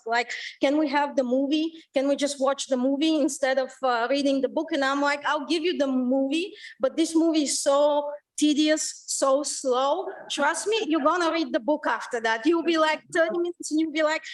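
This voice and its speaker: A middle-aged woman with a Bulgarian accent gives a public lecture in a high voice. She talks in a loose, conversational way, full of fillers and animated asides. She is picked up from well off the microphone in a lecture room. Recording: voice from far off the mic.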